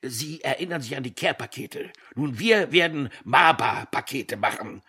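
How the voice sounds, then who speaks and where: An actor playing a brisk, self-important company director in a German radio drama, pompous and commanding. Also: male voice, mid-range voice, expressive, pushed and bright, husky. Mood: excited, scheming. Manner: pompous, commanding, talks over others.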